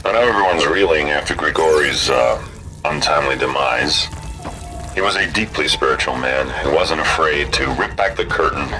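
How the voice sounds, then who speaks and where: A man voicing an evasive, self-important billionaire leader in a video game, addressing people haltingly and glibly over music. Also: very deep voice, low-quality recording. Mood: glib, reassuring. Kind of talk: video game recorded announcement. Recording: music behind the voice.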